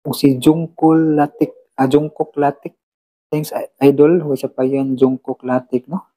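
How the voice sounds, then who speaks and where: Mellow-voiced man hosting casually. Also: mid-range voice, flat delivery, a little slow, poor audio. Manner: informal, repeats tag questions. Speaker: host of an online radio drama channel. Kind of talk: casual chat, reading and thanking listener comments.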